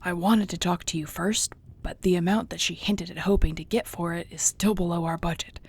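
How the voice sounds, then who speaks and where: A husky, gravelly orc warrior girlfriend acted by a woman, low in pitch. She is gentle and content, talking softly and unhurriedly to her beloved in an intimate audio roleplay, often close to a whisper. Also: fairly even intonation. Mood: warm, hopeful. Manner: soft, tender, unhurried, often near a whisper.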